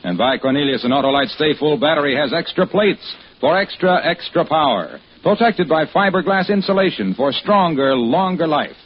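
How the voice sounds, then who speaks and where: Male vintage commercial announcer, highish and animated, emphatic folksy sell, dull radio sound with faint noise. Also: moderate pace, hardly any pauses. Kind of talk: 1940s radio sales pitch. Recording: muffled, noisy old radio recording.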